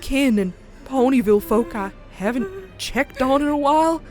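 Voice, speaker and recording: A woman's voice: high voice, very animated, soft background music. A woman voicing a frightened pony who narrates a horror comic dub in a suspenseful, dramatic way. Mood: panicked horror. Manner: slow, suspenseful, dropping into hushed breathy whispers. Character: terrified pony narrating a horror tale, dread-filled.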